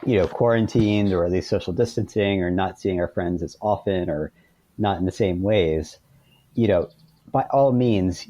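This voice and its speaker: Low-pitched, mellow man in conversation. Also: no room sound. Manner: hesitant, with frequent 'you know' and repeated words. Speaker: author interview guest. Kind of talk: conversational explanation in an interview.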